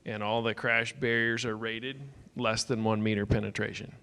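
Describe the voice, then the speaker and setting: Deep gravelly guy on a panel explaining security stuff, no nonsense. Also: little pitch movement, normal speed, bright, forceful tone. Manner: matter-of-fact, explanatory. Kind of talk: conference panel talk. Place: conference stage.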